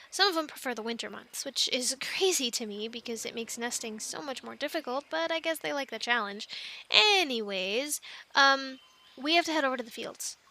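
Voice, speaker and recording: A woman playing a plucky young island castaway in an ASMR roleplay, chatting in an animated, halting, stammering way. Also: fairly high pitch, a little hiss or hum. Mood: explaining. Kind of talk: ASMR roleplay, conversational one-sided dialogue.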